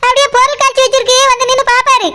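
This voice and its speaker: A woman voicing a sharp-tongued village woman in a cartoon, scolding and mocking, with a Kanyakumari Tamil accent and a pitched-up voice. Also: level tone, very fast, noisy background.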